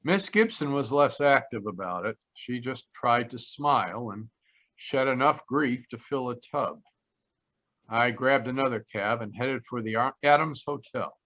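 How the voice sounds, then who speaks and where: A dull, lo-fi video call carries a bright, forward voice: a wry private detective from 1940s radio played by a man. He is blunt and deadpan, with a dry wisecracking streak. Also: mid-range voice, a little slow. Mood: wry narrating.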